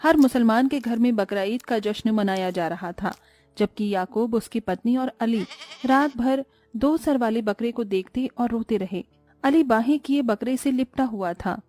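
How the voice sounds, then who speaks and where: Mellow-voiced woman narrating a story. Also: on the high side, measured pace, faint music behind.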